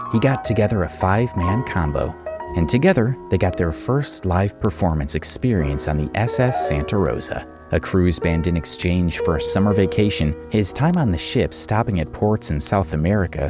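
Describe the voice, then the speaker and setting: A man with a very deep voice narrates a documentary from a prepared script. Music plays clearly behind his words throughout.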